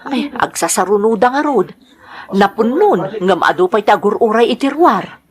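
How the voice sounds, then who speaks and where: A woman's voice: a little high, lively intonation, moderate pace, close to an everyday voice. A woman playing an earnest, eager middle-aged worker in an audio drama, with an Ilocano Filipino accent. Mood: matter-of-fact. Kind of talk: radio-style drama acting.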